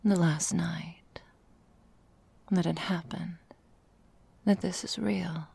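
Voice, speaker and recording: Woman doing a tenderly menacing vampire mistress for asmr, super slow breathy whisper, lots of crackly fry. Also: lowish voice, steady tone, mellow, heavily acted. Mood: concerned. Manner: slow, velvety, formal old-fashioned phrasing. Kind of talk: intimate ASMR roleplay.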